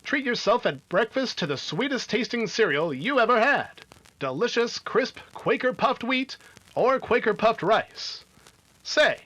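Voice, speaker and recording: A man's voice: sits high, a bit bright, full character voice, rough recording. A man playing a hearty, emphatic announcer and narrator of a 1950s radio adventure serial. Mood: hearty selling. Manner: dramatic, emphatic.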